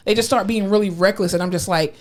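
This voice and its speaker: A woman's voice: medium pitch, quick pace. A woman co-hosting a podcast in casual chat, blunt and joking, sometimes playing devil's advocate. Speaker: podcast co-host.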